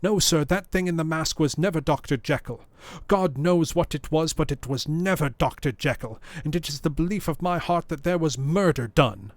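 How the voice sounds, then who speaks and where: A man voicing a frightened, loyal old male butler who pleads in rustic phrasing, raised in pitch above his own voice. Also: expressive, moderate pace, no echo.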